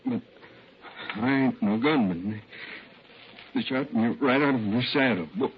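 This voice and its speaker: A male actor as a weak, mortally wounded cowhand of the American West in a vintage radio western. He speaks very slowly in gasping, broken phrases with an earnest rural accent. The recording is dull and faintly hissy. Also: mid-range voice, close to an everyday voice. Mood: halting, fading. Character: badly wounded, dying cowboy, weak and earnest. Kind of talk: 1950s radio western acting.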